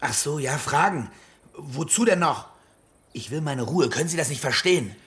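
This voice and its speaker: A man's voice: fairly high pitch, animated, moderate pace, a bit bright. An actor playing an agitated man under police suspicion in a radio crime drama, curt, defensive and indignant. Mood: irritated. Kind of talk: naturalistic German radio drama acting.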